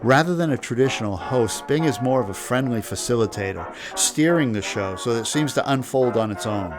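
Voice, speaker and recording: A man's voice: mid-range voice, animated, brisk. Man narrating a script over music. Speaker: host.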